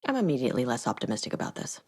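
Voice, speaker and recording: A woman's voice: extremely low pitch, big pitch swings, very fast, heavily acted. A woman playing a tough, sardonic government agent in a video game, talking in a blunt, dry, no-nonsense way. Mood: stern, sardonic. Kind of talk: dramatic game dialogue.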